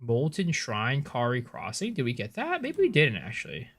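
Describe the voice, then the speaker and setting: Streamer type, young guy, first language Cantonese, bouncy pitch, relaxed chatty delivery for a game livestream. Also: slightly rough. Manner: chatty, relaxed, answers chat with quick asides. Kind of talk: casual game-stream chat with viewers.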